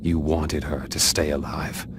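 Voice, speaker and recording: A man acting a stoic warrior in a video game, speaking in a measured, earnest and resolute way. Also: very deep voice, little pitch movement. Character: deep-voiced stoic male warrior urging his comrade on. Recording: clear background noise and ambience.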